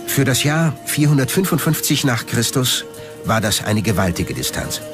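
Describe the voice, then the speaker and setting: A man's voice: medium pitch, very animated, normal speed. A man reading scripted documentary narration. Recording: music behind the voice. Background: background music.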